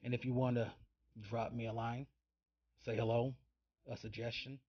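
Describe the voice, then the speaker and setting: A man with a husky voice, casually signing off as a podcast host. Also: mid-range voice, flat delivery, moderate pace. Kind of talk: casual sign-off. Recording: dull, lo-fi, quiet recording.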